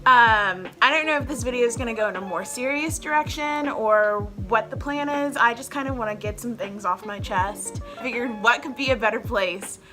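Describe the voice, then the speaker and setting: A woman's voice: fairly high pitch, moderate pace, hardly any pauses, over music. A woman in her twenties chatting casually to camera at home as a vlogger host, rambling and filling her talk with "like". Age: 20s. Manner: chatty, rambling, heavy use of "like" fillers.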